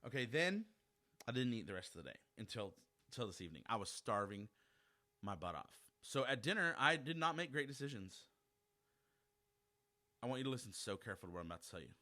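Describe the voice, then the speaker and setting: Animated, chatty man. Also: medium pitch, brisk, pauses often. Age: 40s. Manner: chatty, animated, self-deprecating, addresses listeners directly. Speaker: podcast host. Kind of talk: casual solo podcast monologue.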